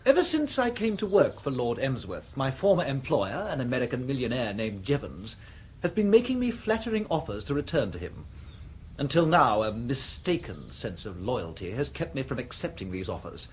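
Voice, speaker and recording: An actor playing a pompous, aggrieved private secretary with an English accent, speaking in a stiff, formal way in a radio comedy. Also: male voice, medium pitch, huge pitch swings, normal speed, a little hiss or hum. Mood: stiff, wounded. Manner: formal, stiff, self-important. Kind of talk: radio comedy drama acting.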